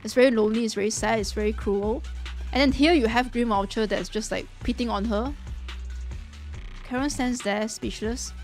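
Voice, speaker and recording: Casting: female let's-play streamer, fairly high voice, invested, casual reactions, faint music underneath. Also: normal speed. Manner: expressive, emotionally invested, slangy. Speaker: game streamer reacting to a story quest. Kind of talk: casual reaction commentary, reading narration aloud.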